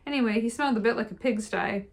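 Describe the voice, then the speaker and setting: A woman's voice: a little high, fast talker. A woman voicing a sensible young woman who teases her friend in a wry, dry way. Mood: teasing. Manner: wry, teasing.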